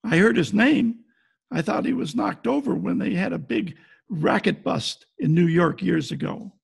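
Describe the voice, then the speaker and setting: An actor playing a blunt, wry private detective in an old-time radio play, with clipped noir delivery. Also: male voice, high-pitched, normal speed, gravelly, husky, exaggerated voice. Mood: probing. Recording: home video-call recording.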